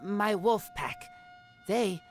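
A woman voicing a guarded, earnest wild boy in a video game, speaking in terse, halting phrases. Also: medium pitch. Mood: earnest, plain. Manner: halting, terse broken sentences. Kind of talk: video game character voice acting. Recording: game music behind.

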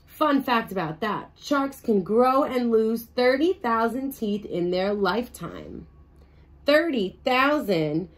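Cheerful little squid presenting fun facts on an undersea show, as read aloud by a woman; slow and light. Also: a little high, lively intonation. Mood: cheery. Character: chirpy little squid TV host. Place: bedroom.

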